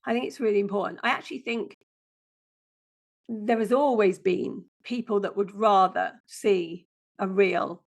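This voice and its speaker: A woman guest with a croaky, chesty voice, speaking bluntly and plainly in a casual interview. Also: mid-range voice, unhurried. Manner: blunt, down-to-earth. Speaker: guest, passive income strategist.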